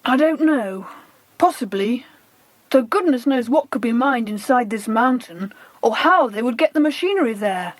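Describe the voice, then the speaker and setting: A woman voicing excitable, earnest children on an adventure in an audiobook. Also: a little high, normal speed, no room sound. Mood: puzzled. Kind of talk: audiobook character dialogue.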